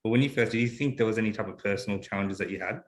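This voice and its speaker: Fast-talking low-voiced male host. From Australia. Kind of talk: casual panel chat.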